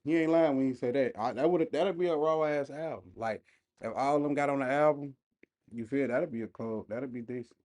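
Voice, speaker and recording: Mellow slangy male reaction host. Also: mid-range voice, a little fast. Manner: slangy, emphatic, addresses viewers directly. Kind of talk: casual off-the-cuff reaction commentary.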